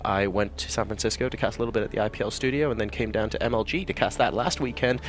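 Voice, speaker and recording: Chatty esports caster man, lowish voice. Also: slight background noise. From Hong Kong. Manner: quick, chatty and enthusiastic, with frequent fillers. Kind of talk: casual interview answers. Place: busy esports event hall.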